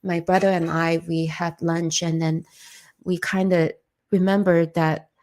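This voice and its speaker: A woman's voice: lowish voice, little pitch movement, measured pace, a little mellow. Woman from China, earnest storytelling. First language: Chinese. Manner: earnest, storytelling, non-native grammar. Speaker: interview guest, former candidate. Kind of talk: conversational interview.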